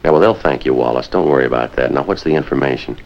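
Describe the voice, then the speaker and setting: Businesslike American detective sergeant and narrator, 1950s procedural. Low, terse, deadpan, on a dull vintage soundtrack. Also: male voice, quick pace. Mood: terse, insistent. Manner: clipped, deadpan, matter-of-fact. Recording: dull 1950s film soundtrack, music underneath.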